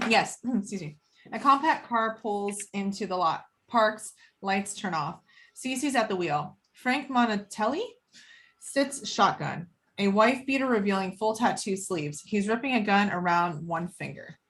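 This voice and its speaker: A woman's voice: medium pitch, animated, unhurried, a bit bright. A woman reading screenplay action lines as the narrator of a table read.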